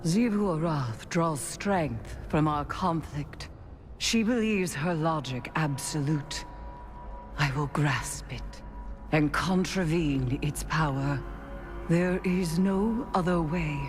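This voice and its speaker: Slow, grave, vengeful sorceress. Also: female voice, low voice, faint music behind. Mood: grim, determined. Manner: solemn, incantatory, deliberate. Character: grave, vengeful sorceress performing dark rituals. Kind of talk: scripted video game acting.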